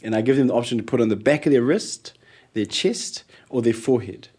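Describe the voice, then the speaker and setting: A man with a New Zealand accent, a guest in an interview, telling stories in a lively way and acting out what people said. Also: mid-range voice, a little fast, husky. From Ethiopia. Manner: animated storyteller, acts out quotes, laughs often. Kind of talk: conversational interview.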